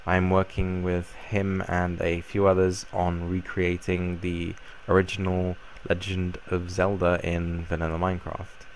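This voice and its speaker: A young man with a croaky voice from a cold, casually explaining a game build in a rambling way. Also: low-pitched, measured pace, hardly any pauses, a little hiss or hum, low-quality recording. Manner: relaxed, rambling explanation with fillers. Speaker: Minecraft YouTuber. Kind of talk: casual gameplay tutorial.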